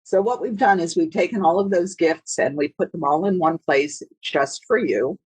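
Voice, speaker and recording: Husky-voiced woman podcast host, dry sound. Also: on the low side, a little mellow, dry recording, low-quality recording. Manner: chatty, self-deprecating, with filler pauses. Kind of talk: hosting an interview podcast.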